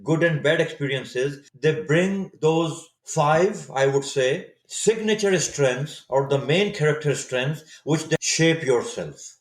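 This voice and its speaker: A man in his fifties from Saudi Arabia, a native Urdu speaker, telling his story as a podcast guest, thoughtful and often pausing to restart his words. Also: fairly high pitch. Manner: reflective, searching for words with frequent restarts. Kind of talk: casual interview storytelling. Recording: echoey room sound.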